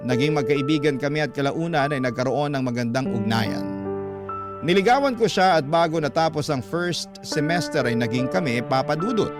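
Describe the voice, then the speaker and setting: An actor playing a young man telling his love story in scripted radio narration, with a Filipino accent and a measured, sentimental manner. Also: on the high side, expressive. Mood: reflective, earnest. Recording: loud music bed under voice.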